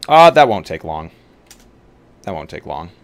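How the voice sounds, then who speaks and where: Deep, gravelly man streaming casually. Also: sing-song, measured pace. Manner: thinks aloud, banters with profanity. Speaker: tabletop roleplay streamer and player. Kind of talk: casual livestream chat while building a game character. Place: live online game stream. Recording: clear background noise.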